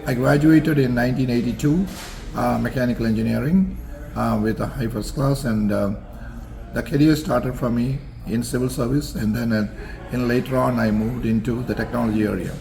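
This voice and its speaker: An older man from Singapore speaks in a measured, formal manner, pausing often with fillers as he reminisces. His voice sits at an ordinary middle pitch for a man. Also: vocal fry, darkish tone, a little hiss or hum. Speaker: interview guest, alumnus. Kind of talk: reminiscing interview answers.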